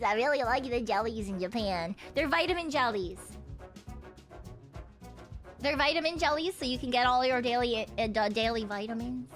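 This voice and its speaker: A chatty woman with a fairly high voice and a slight frog in her throat, rambling through a casual stream. She swears easily and laughs along between thoughts, with faint music playing under her. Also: fairly bright tone.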